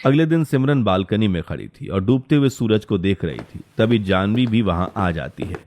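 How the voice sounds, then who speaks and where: A man's voice: on the low side, lively intonation, normal speed, a little mellow. A man narrating a scripted story as its storyteller.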